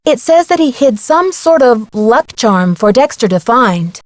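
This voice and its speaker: An actress playing a young female amateur detective in a video game, curious, sensible and gently wry. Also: a little high, very animated, unhurried, dry recording. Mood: curious, informative. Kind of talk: scripted video game dialogue.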